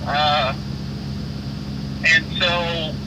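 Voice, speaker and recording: A young man telling stories casually and haltingly as a rookie truck driver in a phone interview. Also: a little high, level tone. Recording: phone call, loud vehicle road noise. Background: vehicle noise.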